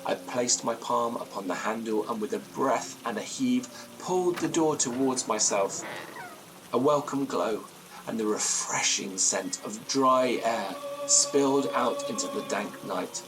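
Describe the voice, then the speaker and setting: An actor playing a fearful, haunted gentleman, telling his ghostly tale in formal, grave gothic prose. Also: medium pitch, unhurried, background noise, lightly acted. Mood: solemn, searching. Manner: formal, literary, grave phrasing. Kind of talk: dramatic first-person gothic storytelling.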